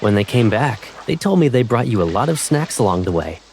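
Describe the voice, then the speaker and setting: A man voicing an affectionate, protective young man who teases gently, in a fan dub of a romance game. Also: medium pitch, very animated, fairly quick, music in the background. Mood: playful, reminiscing.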